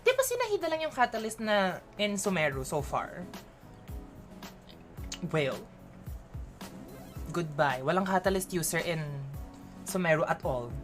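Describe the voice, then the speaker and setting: A woman with a Filipino accent chatting playfully with viewers as a game streamer, switching between English and Tagalog with quick teasing asides. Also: on the low side, big pitch swings, over music. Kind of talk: casual live-stream chat.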